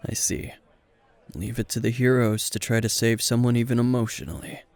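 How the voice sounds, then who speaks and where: A man playing a gruff, weary, tipsy hero teacher who is dryly flirtatious, in an intimate, deadpan ASMR roleplay with a gravelly voice. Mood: wry, tipsy. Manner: low-key, dry, deadpan, unhurried.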